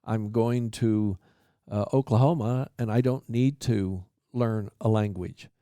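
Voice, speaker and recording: A man, a podcast co-host and former mission president, chatting in a relaxed, good-humored way. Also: on the low side, slow, smooth, no room sound, studio quality. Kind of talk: conversational interview.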